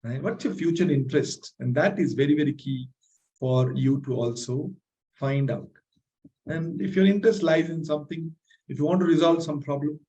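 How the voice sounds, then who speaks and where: Man, fairly high voice, energetic, motivational, keeps asking "right?", slightly strained voice. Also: muffled recording. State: voice strained from talking loudly. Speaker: leadership trainer hosting a masterclass. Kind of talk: live online masterclass with audience questions.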